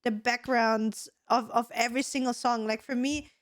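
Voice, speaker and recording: Chatty woman podcaster, kinda hoarse, higher voice, dry close sound. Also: fairly even intonation, moderate pace, dry recording. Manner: chatty, clears her throat and coughs often. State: hoarse, losing her voice. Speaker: podcast co-host. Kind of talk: casual podcast chat.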